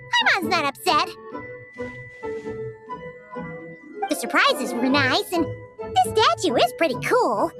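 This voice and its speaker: A woman voicing a small, childlike fairy companion in a video game, chatty, cute and excitable, with a squeaky voice. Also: heavily acted. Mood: content. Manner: refers to self in third person, expressive. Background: playful music.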